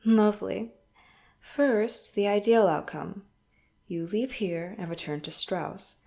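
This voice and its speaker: A woman playing a smug, menacing crime boss in an audio roleplay, speaking deliberately and tauntingly with cool politeness. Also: medium pitch, vocal fry, dark tone. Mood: smooth, persuasive. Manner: deliberate, taunting, coolly polite with threatening undertone. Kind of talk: audio roleplay acting, addressed to the listener.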